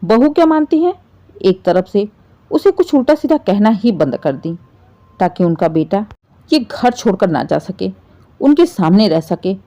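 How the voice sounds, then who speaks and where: A woman's voice: medium pitch, big pitch swings, a little slow, warm tone, lo-fi sound. A woman narrating an emotional family story in a dramatic, scripted storytelling style.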